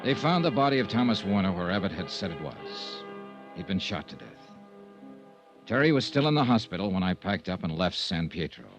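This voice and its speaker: An American actor playing a steady, hard-boiled insurance investigator in a vintage radio noir drama. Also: male voice, lowish voice. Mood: dry, matter-of-fact. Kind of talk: 1950s radio noir acting and narration.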